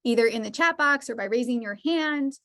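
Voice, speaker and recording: A woman presenting an online lecture over a video call, earnest and thoughtful, with quick asides. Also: a little high, a bit bright.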